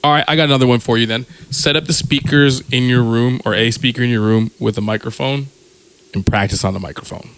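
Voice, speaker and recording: A man's voice: medium pitch, quick pace, a bit bright, clear, resonant tone, rough recording. A man co-hosting a podcast in casual chat, giving short direct suggestions. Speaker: podcast co-host, DJ.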